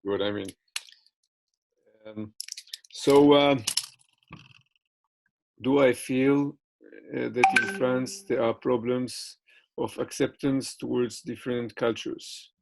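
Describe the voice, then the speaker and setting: A man's voice: mid-range voice, steady tone, slow, creaky, pauses often, a little hiss or hum, rough recording. A man giving interview answers in English, which is not his first language, with many fillers and restarts.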